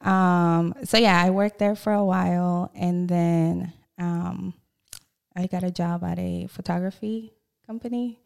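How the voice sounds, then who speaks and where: A woman's voice: lowish voice, fairly even intonation, measured pace, creaky, smooth. A woman in her thirties from El Salvador with a Salvadoran Spanish accent, chatting candidly as a podcast guest. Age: 30s. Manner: candid, chatty, laughs often. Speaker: guest, loan officer. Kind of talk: casual podcast chat.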